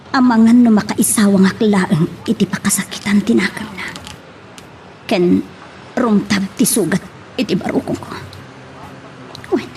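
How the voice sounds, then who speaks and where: A woman's voice: medium pitch, darkish tone, lightly acted. A woman plays a heartbroken, headstrong young woman in an audio love drama. Her voice sits at a middling height, over slight background noise. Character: hurt, stubborn young woman wronged in love. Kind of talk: Ilocano radio-style drama acting.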